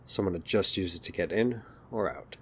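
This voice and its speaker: A man's voice: low voice, normal speed, a bit bright, husky. A man narrating a scripted audio drama, with ambient noise behind him.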